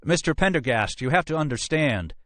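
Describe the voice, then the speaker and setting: Audiobook police captain, played by a male narrator: officious, flustered, blustering, voice raised well above natural pitch. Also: animated, brisk, fairly bright tone, no room sound. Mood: anxious pleading. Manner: blustering, protesting.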